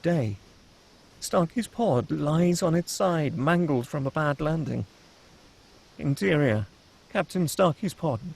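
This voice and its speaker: A man narrates a screenplay with dramatic delivery, reading out scene headings and action. His voice sits slightly high for a man, with a gravelly creak and a husky edge. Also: a little mellow, poor audio. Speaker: narrator reading stage directions.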